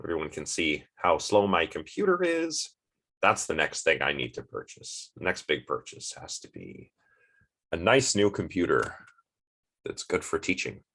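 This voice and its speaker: Low-voiced sniffly man lecturing casually. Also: sing-song, normal speed, vocal fry, sharp tone, husky. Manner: chatty, rambling, many fillers and restarts. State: sniffly, stuffed up. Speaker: philosophy lecturer.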